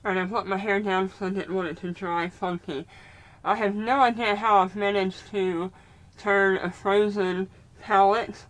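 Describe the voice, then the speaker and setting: A woman's voice: mid-range voice, poor audio. Southern woman with a speech impediment. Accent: Southern US, Mississippi. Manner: rambling, searching for words. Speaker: vlogger. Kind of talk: casual get-ready-with-me chat.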